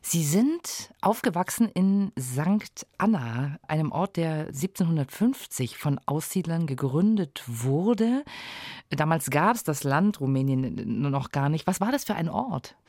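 A woman with a lowish voice hosts a radio interview from the studio. She asks calm, probing questions. The recording is close and dry. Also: a little slow, no room sound. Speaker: radio host.

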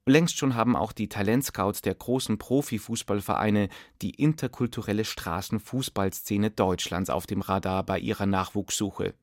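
A man narrating a radio documentary in a measured, clear storytelling manner. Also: lowish voice, dry recording. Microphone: close studio mic.

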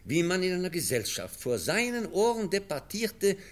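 A man's voice: high voice, big pitch swings, normal speed. A man playing a stern, worried professor in a radio play, emphatic and moralising in his delivery. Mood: exasperated. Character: stern, worried schoolmaster professor, exasperated guardian of a foundling.

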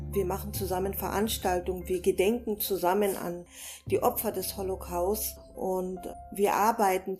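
A woman with a mellow, warm voice speaks earnestly in an interview. A soft music bed is faintly heard under her words. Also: medium pitch. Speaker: interviewee, civil rights worker. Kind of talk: interview answers.

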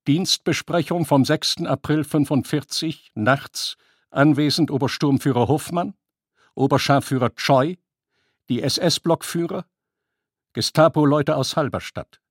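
A man reads out scripted headings like an announcer. His voice is fairly high for a man and has a husky grain. It is recorded dry. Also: measured pace, no room sound. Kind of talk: scripted reading of titles and minutes.

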